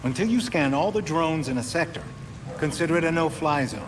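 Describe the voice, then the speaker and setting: A man's voice: on the high side, lively intonation, a little fast, a little hiss or hum, natural voice, poor audio. A man playing a wry, avuncular older inventor and mentor in a video game, with a folksy, instructive manner. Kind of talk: cinematic video game acting.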